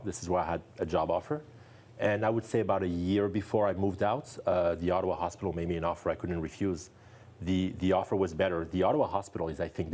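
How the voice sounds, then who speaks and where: French-accented man, low and mellow. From France. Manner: earnest, enthusiastic, repeats for emphasis. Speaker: neurologist guest. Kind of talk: TV interview. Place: TV studio.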